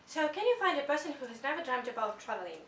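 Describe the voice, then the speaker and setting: A woman's voice: high voice, very animated, fast, slight background noise. A teenage girl giving a rehearsed student presentation in an earnest way. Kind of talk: recited speech presentation. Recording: roomy, from a distance.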